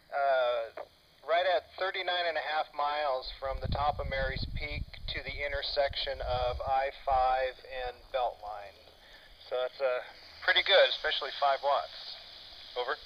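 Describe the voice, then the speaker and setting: An American man chatting casually over two-way radio as an amateur operator, polite and a bit hesitant. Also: mid-range voice. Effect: two-way FM radio transmission. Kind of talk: casual ham radio conversation. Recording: dull radio audio, faint static.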